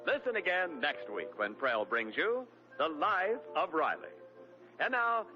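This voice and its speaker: A man's voice: fairly high pitch, sing-song, measured pace. A man announcing sponsor commercials and credits in a polished 1940s radio style. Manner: smooth, polished, emphatic. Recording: dull lo-fi old radio recording with music.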